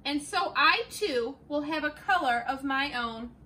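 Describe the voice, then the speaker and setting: A woman's voice: high voice, a little slow, sharp tone, recorded from a distance, slight background noise. A woman voicing a young male chameleon who longs for a color of his own, read aloud from a picture book in a classroom. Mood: hopeful. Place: classroom, indoors.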